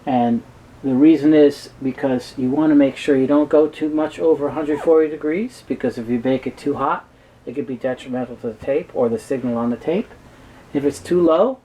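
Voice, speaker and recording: A man giving a halting, explanatory technical talk as an expert guest in an interview. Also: medium pitch, warm tone, poor audio. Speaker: guest expert on reel-to-reel tape.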